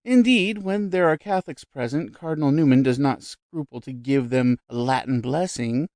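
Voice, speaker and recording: Shrill gushing society lady, as a man puts her on. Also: moderate pace, a little mellow, no echo, natural voice. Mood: confiding. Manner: vivacious, shrill and effusive. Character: gushing middle-aged female society spiritualist.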